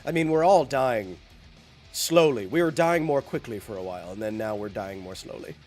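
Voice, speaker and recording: A man's voice: medium pitch, animated, moderate pace, a little mellow. A man in his thirties streams a game live and rambles through jokes and asides to his chat. He sounds a bit congested and sniffly from a recent bronchitis, with his voice sitting mid-range. Light guitar music plays faintly underneath. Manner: rambling, jokey, talks to chat.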